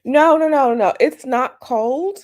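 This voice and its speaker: A woman with a slightly raspy morning voice, chatting casually with viewers on a live stream from home and greeting them by name. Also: sits high, slow pace. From United States. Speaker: tarot channel host. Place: at home, bedroom.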